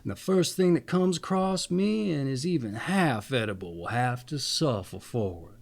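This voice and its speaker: A man's voice: mid-range voice, expressive, normal speed, creaky. A man voicing a good-tempered, hungry little tailor in exclamatory, old-fashioned storybook speech. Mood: blunt, hungry.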